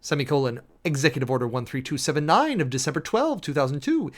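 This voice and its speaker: Male reader, slightly high-pitched and highly animated, theatrical and emphatic delivery of formal text. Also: fast talker. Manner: theatrical, emphatic, spells out punctuation and section headings. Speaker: streamer and host reading a document aloud. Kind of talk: dramatic reading of a legal document.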